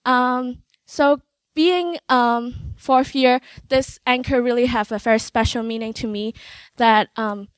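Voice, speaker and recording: A young woman shares her student testimony, pausing often and filling gaps with soft "um"s. Her voice is smooth and resonant, sitting a little high. The sound is dry and close. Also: steady tone, no room sound. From Hong Kong. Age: young adult. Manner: hesitant, many filler sounds, light laughs. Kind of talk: personal testimony on stage.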